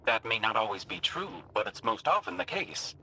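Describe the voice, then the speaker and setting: Animated, formal male warrior. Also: fairly low pitch, normal speed, creaky, heavily acted, low-quality recording. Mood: inquiring. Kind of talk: scripted video game dialogue. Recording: loud game noise behind.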